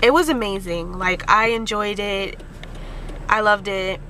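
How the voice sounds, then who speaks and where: A young woman in her twenties, chatting casually as a vlogger from a car's passenger seat into a phone held at arm's length, relaxed and chatty with frequent ums. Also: mid-range voice, a little slow. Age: young adult. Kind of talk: casual chat. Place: parked or moving car, passenger seat. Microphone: phone camera at arm's length. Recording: car noise in background.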